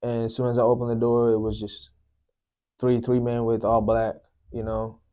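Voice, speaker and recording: A young man from Sri Lanka, a guest telling his life story in a soft, unhurried way, often filling with "you know, man". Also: medium pitch, completely flat delivery. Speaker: guest sharing testimony. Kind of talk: personal testimony, casual storytelling.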